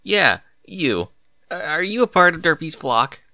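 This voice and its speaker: A man's voice: a little high, sing-song, measured pace, sharp tone, no echo, clean studio sound, voice pushed up, exaggerated voice. Man voicing an earnest, nervous unicorn pony suitor. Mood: calling out.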